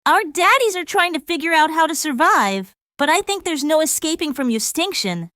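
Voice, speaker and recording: A woman's voice: high-pitched, lively intonation, normal speed, sharp tone, a little hiss or hum. A woman voicing a chatty, self-assured schoolgirl in a manga dub. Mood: bubbly, matter-of-fact.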